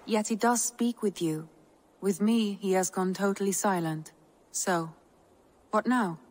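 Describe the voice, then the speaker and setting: An actress playing the concerned, composed wife of a Viking lord in a dramatic video game. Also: female voice, medium pitch, unhurried, darkish tone, natural voice.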